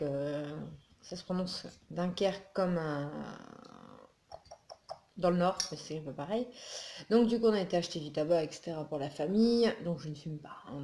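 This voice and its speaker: A woman's voice: fairly low pitch, a little mellow. A woman from northern France, chatting casually and rambling with lots of fillers, sounding a little groggy after just waking up early in the morning at home. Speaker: beauty and haul vlogger. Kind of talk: casual haul video chat. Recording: echoey room with faint noise.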